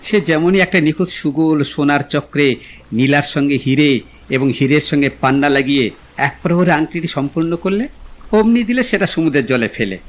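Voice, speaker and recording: An actor playing a witty, dreamy young gentleman in a literary audio drama, speaking in a poetic, eloquent, theatrical way. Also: a little high, expressive, brisk, natural voice. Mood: dreamy, romantic.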